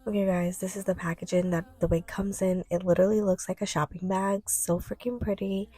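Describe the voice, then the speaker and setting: A woman vlogger chats informally to her viewers in a lowish, creaky voice. She sounds a little congested, as if getting over a cold, and gushes casually over the product. The sound is dry, with very little room. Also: warm tone, dry recording. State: slightly stuffy, recovering voice. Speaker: beauty vlogger reviewing wigs.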